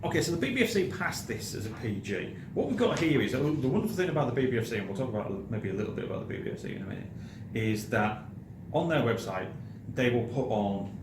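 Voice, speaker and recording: Low-voiced British man, noisy echoey room. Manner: halting, repeats words. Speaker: host of a film review show. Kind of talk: casual chat. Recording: roomy echo, clearly audible background noise.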